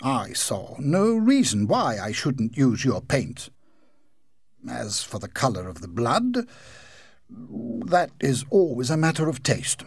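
A man's voice: medium pitch, huge pitch swings, takes it slowly, husky, no echo, clean studio sound, exaggerated voice. A man voicing a proud, self-pitying old male ghost who speaks pompously and theatrically.

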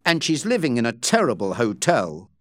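A man playing a gullible, easily shocked gentleman in a storybook, earnest and exclamatory. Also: mid-range voice, huge pitch swings, moderate pace, no echo, clean studio sound.

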